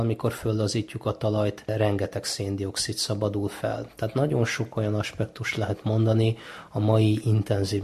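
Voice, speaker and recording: A man explaining things as an expert interviewee in a measured, explanatory manner. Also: lowish voice, husky.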